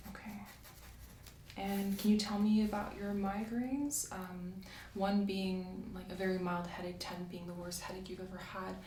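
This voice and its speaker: A soft-spoken woman doing an ASMR medical roleplay as a doctor, asking methodical questions in a reassuring way. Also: medium pitch, little pitch movement, normal speed. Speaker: ASMR creator playing a doctor. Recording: roomy, distant mic, faint hiss.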